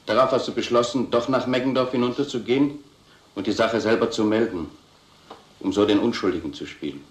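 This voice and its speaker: An actor playing a stern police investigator in a crime radio drama, deliberate and authoritative. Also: male voice, on the low side, reverberant, close to an everyday voice. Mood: accusing. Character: stern, accusing male police investigator pressing for confession.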